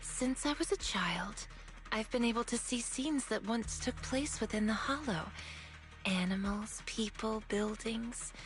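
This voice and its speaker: Woman acting a gentle young woman, slightly high voice, soft and measured, apologetic, earnest game dialogue, faint music behind. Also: lightly acted. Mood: calm, wistful. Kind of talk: video game story voice acting.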